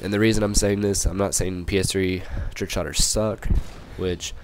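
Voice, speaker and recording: A young man with a cold, hoarse and a little raspy, rambling through casual gameplay commentary with many fillers and restarts. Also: low-pitched, steady tone, moderate pace, a little hiss or hum. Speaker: gaming channel commentator.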